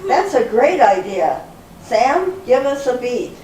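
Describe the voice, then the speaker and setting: A woman acting out an earnest older lady in a comic stage sketch, her voice swooping widely and delivered at a deliberate pace. The room rings around her, with amateur readers theater timing. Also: on the high side, very animated, darkish tone. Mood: cheerful. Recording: echoey room, faint background noise.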